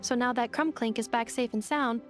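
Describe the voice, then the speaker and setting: A woman voicing a composed, polite female robot guardian in a video game, measured, formal and calm. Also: a little high, loud music behind the voice, close to an everyday voice.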